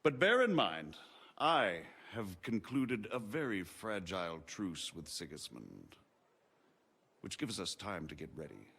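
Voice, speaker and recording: Haughty, low-voiced nobleman. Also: sing-song, gravelly, bright, forceful tone, slightly rough, exaggerated voice. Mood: stern warning. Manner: formal, measured, eloquent. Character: shrewd, haughty noble margrave, smooth political schemer. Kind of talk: dramatic video game acting.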